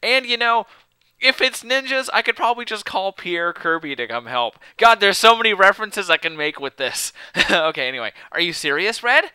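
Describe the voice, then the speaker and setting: Bright-voiced goofy young man. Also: sits high, lively intonation, moderate pace, smooth, no echo. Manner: goofy, joking asides. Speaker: let's play commentator. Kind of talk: game commentary, reading dialogue aloud. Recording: music behind the voice. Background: game music.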